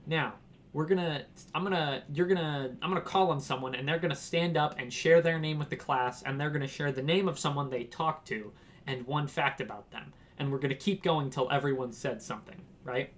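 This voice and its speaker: A man's voice: on the high side, a little fast. A young man from Washington telling a casual, rambling story, laughing and acting out quotes. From Washington, United States. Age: young adult. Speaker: vlogger.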